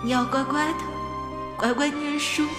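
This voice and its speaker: A woman acting as a gentle, sad, pleading mother in an audio drama. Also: high-pitched, steady tone, moderate pace, lightly acted. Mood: tender, pleading. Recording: roomy, under loud sad music. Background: sad music.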